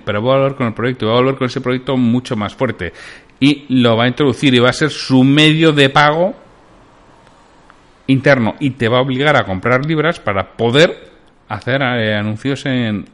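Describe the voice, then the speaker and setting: A man's voice: mid-range voice, normal speed, a bit bright. A man hosting a solo podcast, giving opinionated commentary on the news and putting questions straight to his listeners. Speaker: podcast host.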